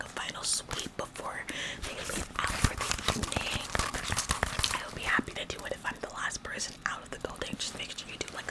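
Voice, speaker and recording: A woman's voice: close to an everyday voice, low-quality recording. A woman playing an officious, nitpicking office employee who acts like the boss in a whispered ASMR roleplay, nagging repetitively with condescending, faux-polite phrasing. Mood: faux-humble bossy.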